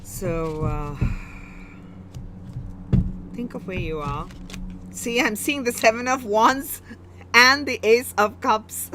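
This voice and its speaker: A woman's voice: a little high, slow pace, pauses often, background noise. A woman with an Indian accent giving a live tarot reading, musing aloud and reassuring her listeners, often breaking off and restarting. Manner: musing, warm, reassuring, with self-interruptions. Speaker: tarot reader.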